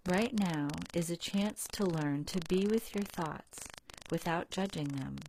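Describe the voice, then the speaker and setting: A woman narrates a guided relaxation in a low voice. She speaks very slowly and calmly, leaving long pauses between phrases. The sound is dry, with no room echo. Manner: calm, unhurried, soothing, with long pauses. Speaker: guided meditation leader.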